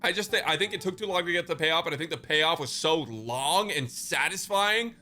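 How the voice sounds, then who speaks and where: A man's voice: on the high side, lively intonation, brisk, bright, forceful tone, faint music behind. A man chatting casually as a live game streamer, slangy and joking, with swearing and hyperbole. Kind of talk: casual livestream chat.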